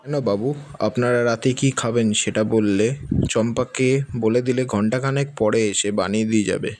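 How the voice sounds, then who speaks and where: A man's voice: lowish voice, fairly even intonation, normal speed, a little mellow, slight background noise, close to an everyday voice. Man voicing a humble caretaker, middle-aged, deferential, polite, audio drama. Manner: deferential, polite, calls others sir.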